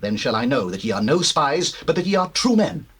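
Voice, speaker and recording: An actor playing an earnest brother in a dramatized scripture, declaiming in deliberate, formal phrases. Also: male voice, medium pitch, sing-song, fairly bright tone, slightly rough. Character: earnest brother reporting to his father. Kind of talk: dramatized scripture, theatrical acting.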